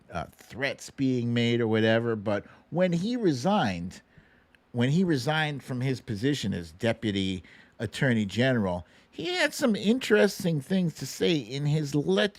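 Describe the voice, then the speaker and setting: Animated man hosting a casual livestream. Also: medium pitch, measured pace, dry recording. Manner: sarcastic, indignant, profane. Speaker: livestream host. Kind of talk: casual political commentary to a live chat.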